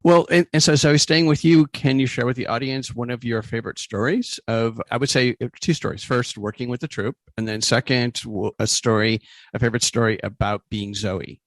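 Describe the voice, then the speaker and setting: A man's voice: mid-range voice, brisk, no room sound. A man hosting a conversational interview, asking wordy, meandering questions. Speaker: interview host.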